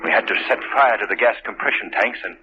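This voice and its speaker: Man doing a foggy-headed gentleman hero, muffled hissy old radio. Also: on the low side, fast, close to an everyday voice. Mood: dazed. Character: dazed male gentleman hero, recovering. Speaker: radio actor. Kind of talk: 1930s radio acting.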